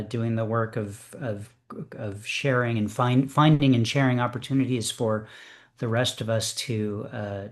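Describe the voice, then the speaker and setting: A man hosting an online poetry reading, speaking with many fillers and restarts. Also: mid-range voice. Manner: warm, hesitant, many fillers. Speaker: host. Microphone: video call.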